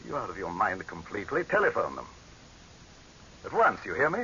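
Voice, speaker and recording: An imperious dictator in a 1930s radio horror play. His low voice is forceful and piercing, swinging dramatically as scornful command gives way to panic. The old recording is dull and faintly hissy. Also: huge pitch swings, fairly quick, full character voice. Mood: imperious, impatient. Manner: imperious, haughty, barking orders. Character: pompous tyrannical male dictator, commanding, scornful, cracking into panic.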